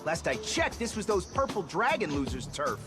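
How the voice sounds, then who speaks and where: An actor playing a hotheaded, sarcastic teen mutant turtle with gruff street slang. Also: male voice, high-pitched, big pitch swings, moderate pace, music in the background.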